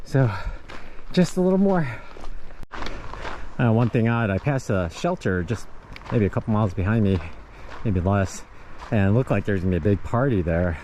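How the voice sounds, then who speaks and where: A man's voice: lowish voice, lively intonation, moderate pace, pauses often, dark tone, slight background noise. Fifty-year-old man rambling on a trail. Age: 50s. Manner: chatty, rambling, laughs often. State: tired from hiking. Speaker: thru-hiker vlogger. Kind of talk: casual trail vlog narration. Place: outdoors on a forest trail.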